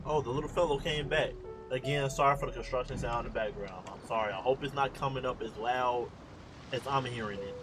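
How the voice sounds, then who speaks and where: A man's voice: mid-range voice, fairly quick. An American man chats casually over a game stream in a mid-range voice. His talk is slangy and teasing, informal throughout. Faint music runs behind him. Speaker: streamer reacting to a video game. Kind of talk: casual gameplay commentary. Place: room at home.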